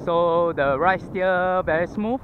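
A man's voice: sits high, slow pace. A Singaporean man with a Singaporean English accent, chatting casually and thinking aloud with fillers as he rides a scooter outdoors, a little out of breath. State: panting from exertion. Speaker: vlogger. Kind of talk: casual chat while riding. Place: outdoors on a city roadside pavement. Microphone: head-mounted action camera mic. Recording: lo-fi action camera audio with street traffic. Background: passing traffic.

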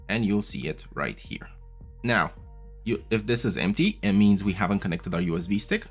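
A man with a low voice gives a relaxed, chatty tutorial, moving patiently through each step. His pitch swings up and down a lot as he talks, and soft music plays under him. He sounds close to a desk mic in a small room. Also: slightly rough. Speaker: tech video host. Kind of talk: casual tutorial walkthrough. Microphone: studio mic on a boom arm, wearing headphones.

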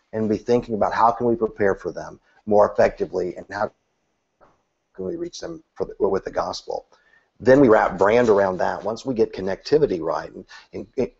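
Earnest man with a gravelly low voice. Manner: earnest, animated, gestures with both hands; repeats words when restarting. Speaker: church marketing consultant. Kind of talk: interview answer, advice. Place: small room, seated on a couch. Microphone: video call webcam. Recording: dull lo-fi webcam sound.

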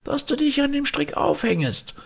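A man's voice: very high voice, sing-song, moderate pace, vocal fry, a bit bright, pitched above the performer's own voice, full character voice. A man voicing a quarrelsome, spiteful wife in a folktale reading, with a scolding, hissing manner. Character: shrill, quarrelsome, spiteful wife of a poor woodcutter. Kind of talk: storybook character voice in a folktale reading.

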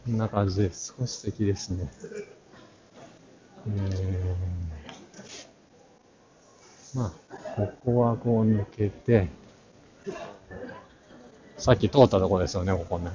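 A middle-aged man giving casual walk-through commentary for a vlog, halting and muttering asides to himself. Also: deep voice, noisy background. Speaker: art channel vlogger giving a walk-through. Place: large crowded indoor exhibition hall. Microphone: action camera at arm's length, face mask on. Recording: muffled audio in a busy crowded hall.

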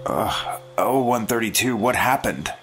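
Awestruck FBI sidekick, animated, eager, vintage radio drama style. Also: male voice, lowish voice, measured pace, slight background noise. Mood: eager, amazed. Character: eager, awed FBI agent protege. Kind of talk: 1950s radio drama acting.

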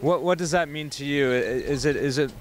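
A young adult man interviewing a guest on a busy city street, asking upbeat, friendly questions with some halting restarts, into a handheld mic. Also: a little high, slight background noise.

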